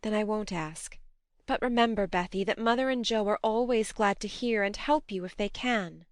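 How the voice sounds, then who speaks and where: Woman playing a brisk, tender-hearted young woman, clear-voiced. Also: medium pitch, no echo, clean studio sound, natural voice. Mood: tender concern. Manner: brisk, admonishing, affectionate.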